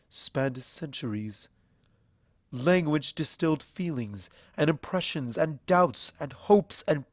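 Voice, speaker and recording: Man voicing an ancient, regal pony princess, formal and measured. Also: a little high, a little mellow, no room sound, clean studio sound, close to an everyday voice. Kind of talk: fan fiction audiobook character acting.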